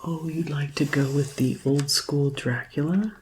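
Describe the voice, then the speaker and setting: A woman speaks softly in an ASMR roleplay, often slipping into a whisper. Her voice is very low for a woman and she takes her time with each phrase, in a gentle customer-service manner. A faint hiss sits under the recording. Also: fairly even intonation, low-quality recording. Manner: slow, gentle, deliberate customer-service delivery. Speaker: ASMR performer playing a vampire conversion receptionist.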